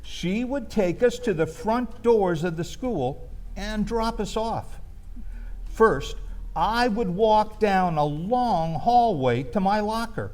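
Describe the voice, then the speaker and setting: A man telling a first-person comic reminiscence as a storyteller, in a wistful, hesitant manner with pauses. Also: sits high, animated, a little mellow.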